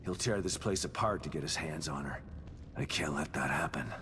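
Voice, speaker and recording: A man playing a gruff, weary, determined ex-detective in a survival horror game, speaking tersely and sardonically. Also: rumbling low, normal speed, background noise. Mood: grimly determined.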